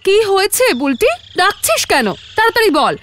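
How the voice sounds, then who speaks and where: A woman voicing a bossy, greedy, excitable mother in a comedy cartoon. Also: very high voice, a little fast, heavy background noise. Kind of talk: Bengali comedy cartoon acting. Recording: music behind the voice.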